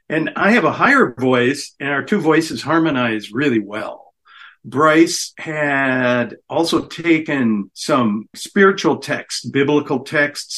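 A man's voice: medium pitch, a bit bright. A middle-aged man, a singer and guitarist, chatting easily as a guest in a radio interview and laughing readily. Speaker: musician guest. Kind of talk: casual radio interview chat.

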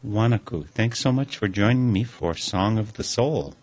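A man hosting a radio interview, reading a smooth scripted introduction and then asking plain questions. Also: on the low side, moderate pace, a little mellow, dry recording. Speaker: radio host.

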